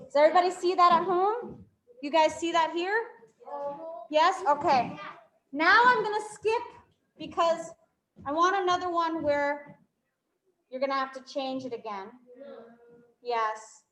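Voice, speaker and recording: A woman's voice: extremely high pitch, measured pace, pauses often, a bit bright, roomy sound, poor audio. A woman with a New York accent teaching a fifth-grade math class, working problems aloud and quizzing her students. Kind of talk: live classroom math lesson.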